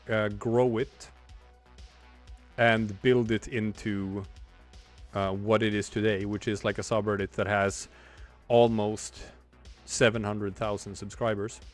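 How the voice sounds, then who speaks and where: A man in his forties, a streamer and comic artist, talking in a rambling, reflective way while he draws, with many restarts. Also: medium pitch. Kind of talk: casual livestream chat while drawing.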